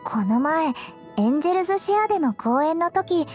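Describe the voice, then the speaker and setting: A sweet teenage girl healer from an anime game. Her voice is extremely high and girlish, with lively, swooping intonation. She speaks politely and earnestly, cheerfully encouraging, over prominent background music. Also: normal speed. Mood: earnest, admiring. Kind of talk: anime game character voice lines.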